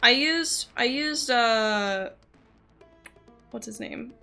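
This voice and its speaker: A woman's voice: fairly high pitch, extremely slow, vocal fry, sharp tone. A young woman chatting casually and rambling as she streams a game. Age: young adult. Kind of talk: casual livestream chat while gaming. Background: game music and voices.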